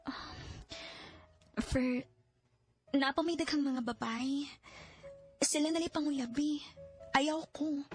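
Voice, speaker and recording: A woman's voice: high-pitched, fairly even intonation, slow pace, pauses often, darkish tone, faint music behind. An actress playing a young woman reluctantly turning down a suitor, hesitant, with a Bisaya accent, in a Filipino radio drama. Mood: reluctant, guarded.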